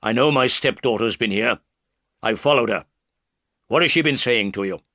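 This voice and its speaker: A man's voice: mid-range voice, normal speed, bright, forceful tone, dry recording, studio quality. A man voicing a huge, cruel and rude man who speaks in a menacing way.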